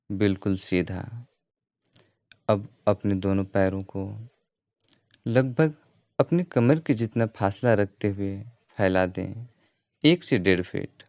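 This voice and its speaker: A man giving guided meditation instruction as a yoga teacher, in a calm, measured, repetitive way. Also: lowish voice, creaky. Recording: roomy echo, dull muffled sound.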